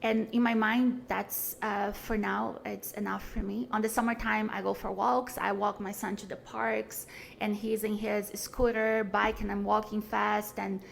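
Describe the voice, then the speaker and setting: A woman's voice: medium pitch, flat delivery, unhurried, creaky. A woman, talking earnestly and frankly as a podcast guest, with many fillers and restarts. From Brazil. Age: 40s. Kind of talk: casual conversation.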